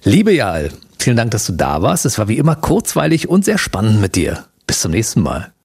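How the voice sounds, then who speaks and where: A male radio podcast host in casual interview chat, chatty and quick with short questions. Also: fairly low pitch, wildly swooping pitch, darkish tone, breathy edge, no room sound, studio quality. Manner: chatty, asks short questions, frequent mhm.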